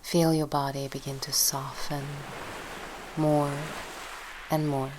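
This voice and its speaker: A woman guides a relaxation session in a low, even voice with very little rise and fall. She speaks softly and soothingly, leaving long pauses between instructions. A steady wash of sea waves runs behind her. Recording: steady ocean-wave noise behind voice. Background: sound of sea waves.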